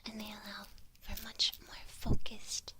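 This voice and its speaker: A woman playing a kindly witch who gently instructs her apprentice in a breathy, unhurried ASMR whisper. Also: mid-range voice, monotone, close to an everyday voice. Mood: gentle, instructive.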